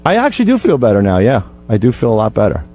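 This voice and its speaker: A man's voice: medium pitch, wildly swooping pitch, very fast, mellow, slight background noise. A man chatting casually on a radio show, explaining himself a little defensively. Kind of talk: casual chat.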